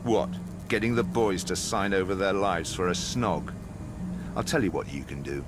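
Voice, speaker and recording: Background ambience sits clearly behind a man acting as a cold game villain. His voice is on the low side, measured and menacing. He talks down to the listener with contemptuous, grandiose condescension. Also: background noise, close to an everyday voice. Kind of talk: dramatic game cutscene dialogue.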